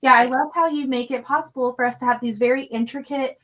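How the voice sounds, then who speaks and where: High-voiced woman, a grateful guest. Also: steady tone, fast talker, fairly bright tone, slight background noise. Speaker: guest quilter. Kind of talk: casual chat on a video call.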